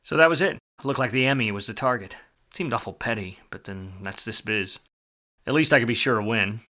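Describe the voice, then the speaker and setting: An actor playing a hardboiled, wisecracking private detective in a 1940s film noir radio play, wry and slangy. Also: male voice, mid-range voice, a little fast, sharp tone, lightly acted. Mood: wry, weary.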